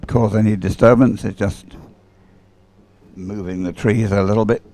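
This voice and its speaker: A man's voice: lowish voice, fairly even intonation, moderate pace, warm tone. A man giving unscripted live sports commentary in a hesitant, rambling, conversational way. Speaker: race commentator. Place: outdoors beside the water at a regatta.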